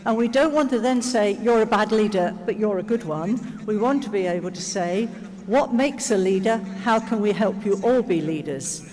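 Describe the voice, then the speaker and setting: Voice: medium pitch, moderate pace, hardly any pauses, darkish tone. A conference speaker answering audience questions in a reflective, explanatory way, with rhetorical questions and check-ins. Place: large conference hall. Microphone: stage microphone. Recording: reverberant hall over PA microphone.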